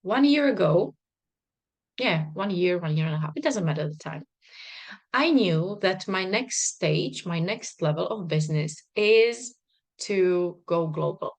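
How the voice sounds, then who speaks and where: A woman's voice: on the low side, expressive, a little slow. A woman, a non-native English speaker, talking to a live stream audience as a business coach in a bubbly, emphatic way with frequent laughter. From not Czech, worked in Czech Republic. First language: not English. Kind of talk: live stream talk to viewers.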